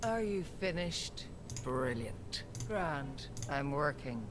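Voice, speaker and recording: Game villainess: Irish scientist, very low and unhurried, cold, precise, disdainful. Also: female voice, animated, noisy background. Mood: smug, cool. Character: cold, haughty woman scientist with sinister intellect. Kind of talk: video game character voicelines.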